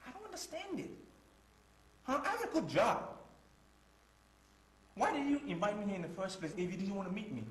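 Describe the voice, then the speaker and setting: An actor playing a proud, easily wounded young boyfriend in a screen drama, with an emphatic, repetitive way of talking. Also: male voice, high-pitched, big pitch swings, a little fast, long pauses. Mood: indignant. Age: young adult. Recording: roomy echo, faint music underneath.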